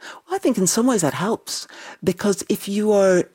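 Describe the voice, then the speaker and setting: A woman's voice: mid-range voice, moderate pace, husky. A middle-aged woman with a transatlantic accent tinged with Irish, answering interview questions with enthusiasm and easy laughter. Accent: transatlantic, with some Irish. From United States. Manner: enthusiastic, laughs easily, animated. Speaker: novelist guest. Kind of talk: interview conversation.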